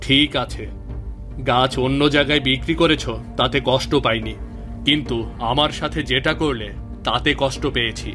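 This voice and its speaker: A man's voice: mid-range voice, moderate pace, bright, forceful tone, lightly acted. A man voicing an earnest, persistent village fellow in a cartoon moral story. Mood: hurt. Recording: music behind the voice.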